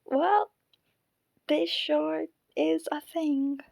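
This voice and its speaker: A woman's voice: sits high, extremely slow, gravelly, darkish tone, heavily acted. A woman acting a wry, amused audience member who banters dryly and casually in an audio drama. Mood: bemused.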